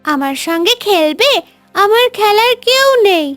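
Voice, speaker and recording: Kind rich little girl, played by a woman: extremely high voice, sweet, curious, music bed. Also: animated, a little slow, a bit bright, exaggerated voice. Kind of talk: Bengali animated story voice acting.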